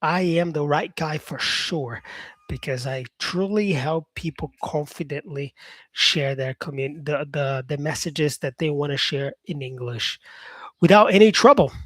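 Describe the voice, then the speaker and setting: A man who teaches English, talking casually to his viewers about their comments, upbeat and encouraging, often restarting himself and filling pauses. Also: a little high, dry recording. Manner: rambling, restarts himself, many fillers.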